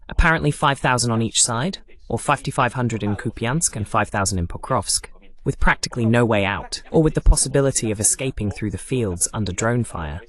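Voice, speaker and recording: A male commentator delivers a political monologue in a medium-pitched voice. His intonation is very lively, rising and falling often as he explains his points emphatically, using lists and rhetorical questions.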